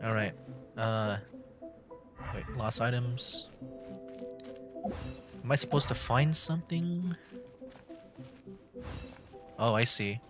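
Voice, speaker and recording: A man's voice: mid-range voice, expressive, slow pace, long pauses, pushed and bright, soft background music. A man doing casual livestream game commentary in an informal, jokey way. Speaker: streamer.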